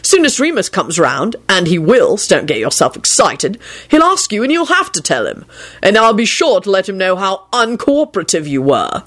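A woman voicing an unruffled, cheerfully stern gentleman with a calm manner in a story reading. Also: medium pitch, normal speed. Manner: calm, British turns of phrase.

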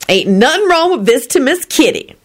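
A woman's voice: high voice, very animated, normal speed, pitched above the performer's own voice. A woman voicing a brash, lusty, self-satisfied woman with folksy grammar and a boastful manner in a story. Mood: nosy, agreeable. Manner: folksy nonstandard grammar, drawling hums, boastful.

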